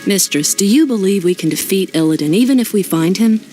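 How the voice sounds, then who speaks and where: A woman's voice: mid-range voice, animated, fairly quick, faint music behind, natural voice. A woman playing an earnest, dutiful night elf sentry in a fantasy game, formal and deferential. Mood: concerned. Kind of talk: fantasy video game cutscene acting. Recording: loud rain over the voice.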